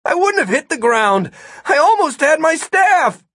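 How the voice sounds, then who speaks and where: A man voicing an excitable, argumentative adventurer in a storybook, with emphatic, urgent delivery. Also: very high voice, measured pace, dry recording, clean studio sound, voice pushed up, full character voice. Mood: indignant.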